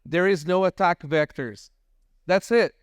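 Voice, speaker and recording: A man on a conference panel trades dry, deadpan one-liners. His voice is a little higher than most men's and clear, and the sound is dry and close. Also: measured pace, no room sound. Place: conference stage.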